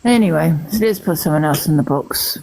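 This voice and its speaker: A woman's voice: deep voice, a little fast, vocal fry, a little mellow, poor audio. Teasing woman hosting a live stream. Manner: chatty, teasing. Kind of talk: casual chat.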